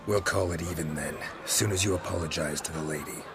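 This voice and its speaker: Very deep, deadpan monster hunter. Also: male voice, a little fast, over music. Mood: dry, firm. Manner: deadpan, terse. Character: gruff, dry-witted male monster hunter for hire. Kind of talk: video game acting.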